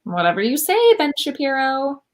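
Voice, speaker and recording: Proud, dry-witted eco-inventor played by a woman, higher-pitched. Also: animated, moderate pace. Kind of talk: comedic radio play acting over video call. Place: room at home, on a video call.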